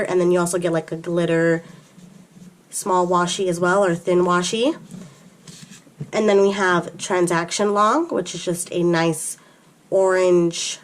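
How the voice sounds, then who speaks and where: A woman vlogger who is getting over an illness and stops to catch her breath, chattily walking through planner stickers one by one. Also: on the low side. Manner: chatty, filler-heavy, describing items one by one. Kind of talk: casual show-and-tell product walkthrough.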